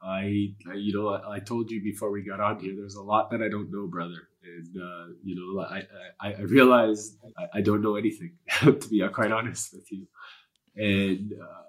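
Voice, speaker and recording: Low-voiced earnest man. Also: little pitch movement, moderate pace. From Canada. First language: Polish. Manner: earnest and spiritual, rambling with many fillers and curse words. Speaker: guest. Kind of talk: candid personal interview talk.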